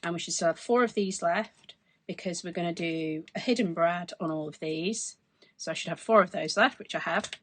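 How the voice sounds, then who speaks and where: A woman in her forties, hoarse from losing her voice, chatting through a craft demonstration at her desk. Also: fairly low pitch, moderate pace, no room sound. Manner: chatty, explains as she works. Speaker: craft tutorial host. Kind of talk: casual step-by-step crafting demonstration. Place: craft desk indoors, overhead camera.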